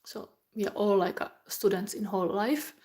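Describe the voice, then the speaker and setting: A middle-aged woman from Finland, giving a live tarot reading in a slow, chatty way that often drops into a whisper. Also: medium pitch, steady tone, a little mellow. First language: Finnish. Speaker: tarot reader.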